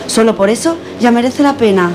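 A woman playing a kind, tolerant young pilgrim in a radio drama, gentle and earnest, with an accent from Spain. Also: on the high side, expressive, a little fast, natural voice. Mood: happy, earnest. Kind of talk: amateur radio drama dialogue. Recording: noisy crowd recording.

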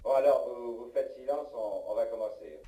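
A man's voice: mid-range voice, normal speed, mellow, far from the mic. A man giving informal instructions as a studio director before a recording. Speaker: studio director calling for silence. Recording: muffled, echoey, off-mic with faint noise.

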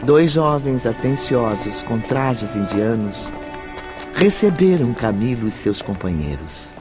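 An actor as the solemn narrator of a spiritual radio drama, speaking formal Portuguese dramatically with a Brazilian accent. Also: male voice, medium pitch, big pitch swings, takes it slowly, music in the background. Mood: solemn, admiring. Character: solemn male storyteller of a spiritual tale.

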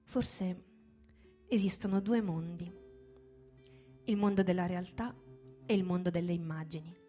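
Female stage narrator, dramatic literary monologue, grave deliberate phrasing, soft instrumental bed behind. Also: on the low side, steady tone. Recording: music bed under the voice. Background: guitar music.

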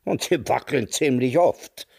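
A man's voice: medium pitch, measured pace, vocal fry, darkish tone. An actor playing a querulous, ranting old man in a radio play, declaiming with an Austrian accent and swinging from mutters to outbursts. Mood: stubborn.

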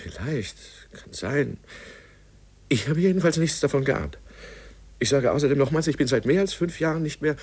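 Cornered doctor, husky, guarded, widely varying pitch, defensive, vintage German radio crime drama. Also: male voice, animated, moderate pace, darkish tone. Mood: anxious, defensive. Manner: guarded, reluctant answers. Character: uneasy, defensive male doctor under questioning.

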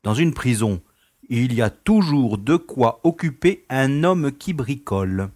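A man plays a prison director in an audio drama, affable and patronising. His medium-pitched voice swings widely up and down. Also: animated, unhurried, darkish tone. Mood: genial. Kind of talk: French audio drama acting.

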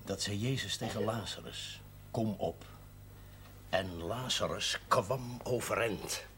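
Casting: dry-witted country priest, measured and teasing, TV drama, slightly noisy old soundtrack. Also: male voice, on the low side, a bit bright. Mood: calm, sly. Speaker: actor in a television drama series. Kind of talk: television drama dialogue.

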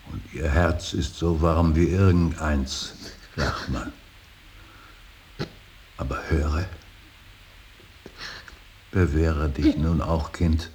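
An older male actor playing a grieving, stern and brooding old painter in a radio drama, speaking in a halting, weighty, solemn stage manner. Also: darkish tone. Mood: solemn, tender. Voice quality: very deep, gravelly. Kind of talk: slow, solemn German stage-style radio drama acting. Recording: hissy radio play recording.

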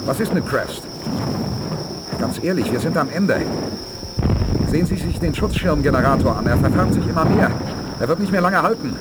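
A man acts a commanding, urgent leader in an eighties space radio play. He speaks in resolute, clipped orders with a lively, moving pitch. Loud vehicle-like noise sits behind him. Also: moderate pace, pauses often, natural voice. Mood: desperate, pressing. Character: commanding, urgent male space-age leader of a new world power. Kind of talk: 1980s German science-fiction radio play acting.

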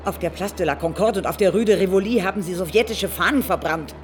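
A woman's voice: fairly low pitch, fast talker, faint music behind, close to an everyday voice. An actress playing an agitated, hard-line communist woman in a radio drama, speaking urgently. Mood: alarmed, urgent.

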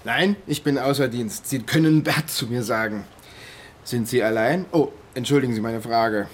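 An actor playing a pushy, easily flustered police detective in a naturalistic German radio drama. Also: male voice, medium pitch, moderate pace, a little hiss or hum, lightly acted. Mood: smooth, courting.

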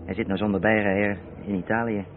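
Low-voiced actor as a haulage boss, hissy recording. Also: male voice, level tone, fast talker, natural voice. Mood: businesslike. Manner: firm, matter-of-fact. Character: middle-aged haulage boss, practical, decisive, good-natured. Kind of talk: Dutch radio play acting. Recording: muffled, hissy old radio recording.

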